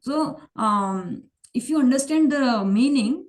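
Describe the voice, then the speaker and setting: A woman's voice: high-pitched, expressive, slow, slight background noise. A woman teaching a verse class, repeating and restarting, mixing English and Hindi. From India. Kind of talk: online class explanation.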